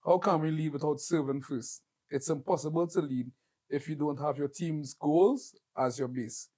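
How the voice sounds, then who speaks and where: Reflective higher-voiced male host. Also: normal speed, warm tone. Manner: reflective, thoughtful questioning. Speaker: interview host. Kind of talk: casual interview chat.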